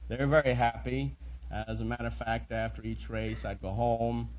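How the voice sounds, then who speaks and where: A man's voice: mid-range voice, level tone, normal speed. A middle-aged or older man, a quiz contestant, telling anecdotes on a vintage radio show. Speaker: contestant, auto racer. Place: radio studio. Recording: dull, faintly noisy vintage radio recording.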